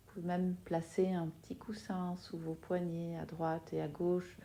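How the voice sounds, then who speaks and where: A woman with a low-pitched, mellow voice guides a relaxation practice. She speaks very slowly and softly, in short phrases with long pauses. Her manner is soothing throughout. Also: little pitch movement, a little hiss or hum. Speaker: yoga nidra teacher guiding a relaxation. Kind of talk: guided meditation.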